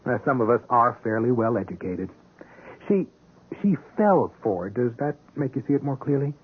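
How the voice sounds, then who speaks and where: An actor playing a wry, stubborn, educated police detective in a 1970s radio drama, with a dry, polite, hesitating delivery. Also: male voice, mid-range voice, expressive, moderate pace, slight background noise, natural voice. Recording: muffled, narrow-band old radio broadcast.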